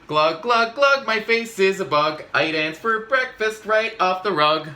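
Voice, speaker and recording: A man's voice: high voice, expressive, measured pace, pushed and bright, reverberant, voice pushed up, exaggerated voice. A man voicing a silly, boastful robot monkey in an exaggerated, goofy storybook read-aloud. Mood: zany, nonsensical. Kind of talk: animated children's read-aloud.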